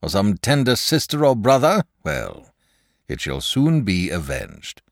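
A noble, kindly knight from a storybook, as a male narrator voices him. He speaks gravely and gently with a lively rise and fall. The sound is dry and close. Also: lowish voice, unhurried, dry recording.

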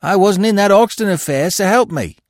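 Man putting on an impudent, high-pitched pickpocket. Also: very animated, moderate pace, creaky, no room sound, clean studio sound, full character voice. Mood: indignant. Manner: uneducated colloquial speech, sulky and defiant. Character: impudent, sullen, cunning male pickpocket, easily startled.

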